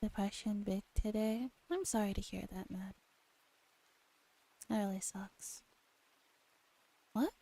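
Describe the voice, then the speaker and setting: A woman streamer gently reading a visual novel aloud in a soft ASMR style, relaxed and chatting casually, at times dropping to a whisper. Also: mid-range voice, takes it slowly, long pauses. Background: background music.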